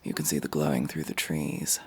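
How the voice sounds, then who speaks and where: A woman playing a gruff, guarded, world-weary elf in a fantasy ASMR roleplay, curt and bossy with hushed delivery. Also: a bass voice, little pitch movement, moderate pace. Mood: guarded, softer. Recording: faint background noise with ambience.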